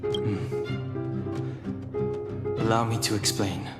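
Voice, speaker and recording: A serious, reserved young man in a game cutscene, low and slow. His delivery is calm, in an echoey hall under loud music. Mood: composed. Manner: flat, deliberate.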